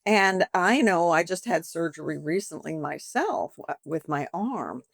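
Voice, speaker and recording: A woman's voice: on the low side, expressive, a little slow, gravelly, dry recording, studio quality. A woman in her seventies, a boutique owner, chatting animatedly in an interview and acting out conversations with customers. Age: 70s.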